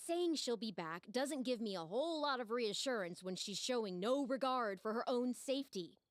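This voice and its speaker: A woman's voice: on the high side, animated, moderate pace, no echo, studio quality, close to an everyday voice. A woman playing a fretful, exasperated theater manager in a fantasy video game, melodramatic and agitated. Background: game music.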